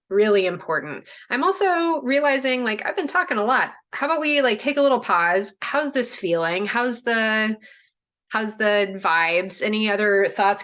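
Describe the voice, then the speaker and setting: A woman in a shaman and spiritual mentor role, teaching a group over a video call in a casual, animated way, with many "like" and "right?" asides. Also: mid-range voice.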